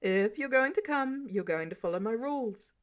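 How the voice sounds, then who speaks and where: A woman's voice: fairly high pitch, animated, normal speed, natural voice. A woman voicing a stern, protective man who lays down rules in a sarcastic, bossy way for a story reading. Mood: stern, exasperated. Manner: sarcastic, bossy, clipped commands. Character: stern, exasperated, protective adult man, mentor laying down rules.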